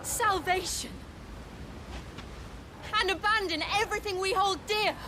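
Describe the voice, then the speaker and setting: A woman's voice: extremely high pitch, steady tone, unhurried, noisy background. A woman playing an earnest, brave young companion in a video game. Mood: indignant. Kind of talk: dramatic video game cutscene acting.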